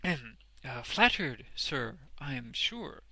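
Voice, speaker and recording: A man's voice: medium pitch, sing-song, slow, sharp tone, no room sound, exaggerated voice. A man voicing a smug, obsequious street ballad seller in a storybook, deferential yet self-important. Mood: flattered.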